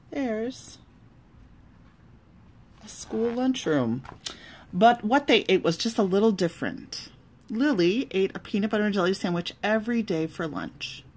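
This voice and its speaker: A woman reading a children's storybook aloud in an expressive, deliberate way, pausing between phrases. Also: mid-range voice, breathy edge. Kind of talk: storybook read-aloud with asides.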